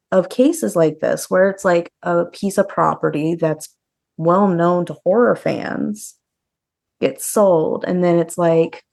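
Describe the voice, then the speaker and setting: Casting: female podcast co-host, lowish register, loose conversational delivery, informal news chat. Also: vocal fry, a little mellow. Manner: conversational, peppered with "like". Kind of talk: casual chat about horror news.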